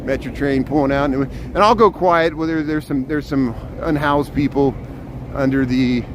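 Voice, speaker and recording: Loud chatty man on a noisy street. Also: medium pitch, normal speed. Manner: chatty, pointing out sights as he walks. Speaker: live streamer; urban planner. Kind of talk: walking tour live stream. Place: outdoors on city streets. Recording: noisy city street with traffic.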